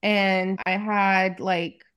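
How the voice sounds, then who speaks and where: A woman's voice: medium pitch, level tone, drawn-out words, a bit bright, clean tone. A woman in her forties, originally from Romania, speaking as a life coach guest in an open, chatty way, with lots of "like"s. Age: 40s. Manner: open, chatty, frequent likes and laughs. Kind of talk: casual interview chat.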